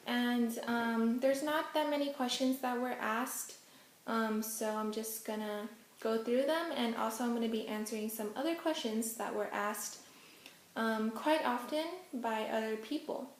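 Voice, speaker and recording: Young woman vlogger, first language Hokkien, slightly high voice, casual and chatty in a roomy echo. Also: measured pace. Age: young adult. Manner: chatty, many ums, giggly asides. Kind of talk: casual Q&A vlog. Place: indoors at home, face out of frame.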